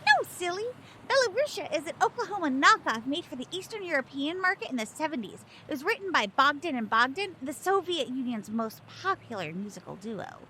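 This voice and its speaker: A woman's voice: high voice, sing-song, fairly quick, sharp tone, slight background noise, exaggerated voice. A woman voicing a bubbly, theatrical sidekick creature who adores musicals, in an audio drama. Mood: gushing, thrilled.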